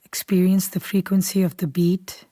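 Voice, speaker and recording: A woman leading a guided meditation in a calm, unhurried, soothing way, softly and at times almost whispering. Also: fairly low pitch, no echo. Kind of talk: guided meditation, slow scripted instruction.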